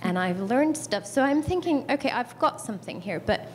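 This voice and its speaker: A woman's voice: on the high side, expressive, moderate pace, slight background noise. A woman in her thirties asking a long question from the audience, chatty and self-deprecating, and acting out her own reactions as she tells her story. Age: 30s. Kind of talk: question from the floor.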